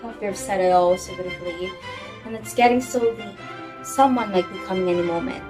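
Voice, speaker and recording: A woman acts out an excitable society matron in an amateur one-act play. She is brisk, fretful and used to giving orders, in a mid-range voice over music. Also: mid-range voice, close to an everyday voice. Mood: brisk, fussy. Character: fussy, excitable, fashionable society hostess and mother. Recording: music behind the voice.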